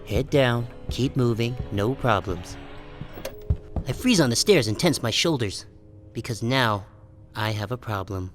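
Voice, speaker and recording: A man's voice: medium pitch, expressive, unhurried, music in the background, natural voice. A man playing a wary, resentful sheep who narrates his own story in a wry, guarded audiobook style. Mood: wry, tense. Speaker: first-person narrator and protagonist. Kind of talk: scripted first-person audiobook narration.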